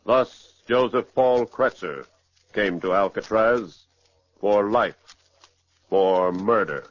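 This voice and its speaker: A man's voice: fairly low pitch, takes it slowly, no room sound. An American man narrating a 1940s radio crime drama in a grave, formal, declamatory way. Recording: muffled, dry 1940s radio recording.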